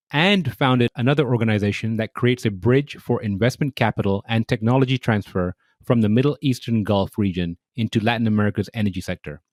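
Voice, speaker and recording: A man hosting an interview podcast, polite and well prepared. His voice sits at an ordinary pitch. The recording is dry and close, with no room sound. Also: a little mellow, no echo. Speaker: podcast host.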